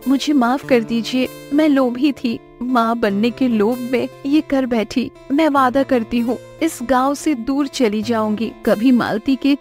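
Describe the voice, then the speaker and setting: A woman plays a humble village fisherwoman in a cartoon, full of remorse. Her voice is fairly high and pleading, over soft music. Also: unhurried, few pauses, close to an everyday voice. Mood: remorseful pleading. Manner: pleading, self-blaming. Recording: music under the voice.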